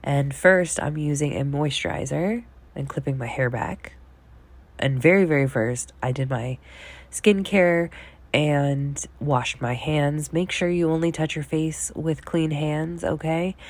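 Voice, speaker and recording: A woman's voice: low-pitched, unhurried. A young woman with a cold, sounding nasal, chatting casually through a voice-over tutorial and checking in with "okay?". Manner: relaxed, chatty, says "okay?" often. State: sick, nasal. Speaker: beauty vlogger. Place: small bedroom.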